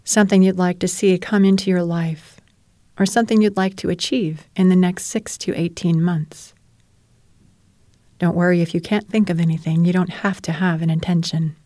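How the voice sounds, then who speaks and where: A woman leading a guided relaxation as a meditation teacher, speaking in a calm, soothing and measured way. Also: on the low side, no room sound. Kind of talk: guided relaxation narration, yoga nidra.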